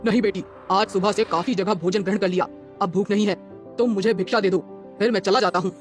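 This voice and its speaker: A woman voicing a kindly, solemn male holy man in a cartoon moral story, with a benevolent, measured manner. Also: medium pitch, fairly even intonation, faint music behind, natural voice.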